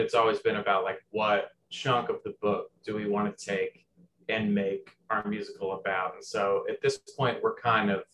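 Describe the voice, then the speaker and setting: Low-voiced rambling young man. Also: a bit bright, a little hiss or hum, low-quality recording. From Southern Indiana, USA. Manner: rambling, with many fillers and restarts. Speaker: musical theater writer. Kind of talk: casual interview answers.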